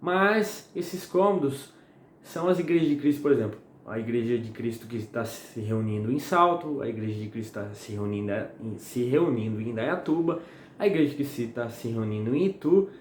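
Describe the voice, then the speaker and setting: A young man from the São preaches at home, slightly hoarse, in a chatty, friendly way that keeps checking in with his listeners. His voice rings in an echoey room with a faint hiss underneath. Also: medium pitch, lively intonation, normal speed. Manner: chatty and friendly, asks "tá?" often. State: slightly hoarse and sweating in the heat. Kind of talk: casual home-recorded sermon. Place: home at night.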